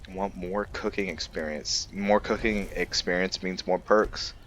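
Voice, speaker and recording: A man's voice: deep voice, fairly even intonation, unhurried, noisy background. A man doing casual let's-play roleplay commentary, musing to himself a little hesitantly over game ambience. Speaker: gaming video host and player.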